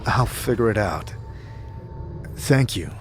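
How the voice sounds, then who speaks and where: A man's voice: lowish voice, lively intonation, slow, noisy background. A man playing a hesitant, somber wanderer in an audio drama, speaking tersely.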